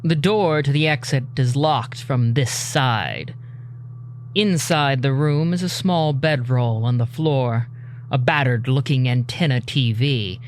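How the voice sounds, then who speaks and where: A man narrating a scripted audio drama in a measured, dramatic storytelling manner. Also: medium pitch, slight background noise.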